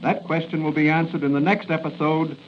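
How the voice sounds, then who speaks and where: A man's voice: on the high side, little pitch movement, a little fast, close to an everyday voice. A man narrating a 1940s radio serial as its announcer, with dramatic scripted delivery. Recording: muffled, hissy 1940s radio recording.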